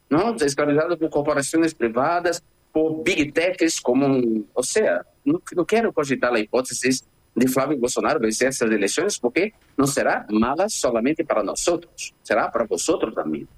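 Impassioned husky man, Brazilian Portuguese accent. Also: fairly high pitch, expressive. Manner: impassioned, halting with restarts and fillers. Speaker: interview guest. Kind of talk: remote interview.

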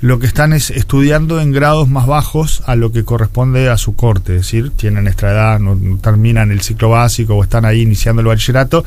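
A man's voice: mid-range voice, steady tone, a little fast, hardly any pauses, darkish tone. A man in his forties with a Uruguayan accent, giving explanatory answers as an interview guest, often repeating words as he searches for phrasing. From Montevideo, Uruguay. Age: 40s. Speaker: interview guest, education official.

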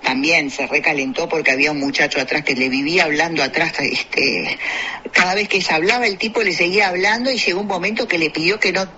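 A man's voice: on the high side, fast talker, pushed and bright, husky, lo-fi sound. A man with a Uruguayan Spanish accent chatting casually on a radio show, rambling with many fillers and restarts. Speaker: radio co-host. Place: radio studio.

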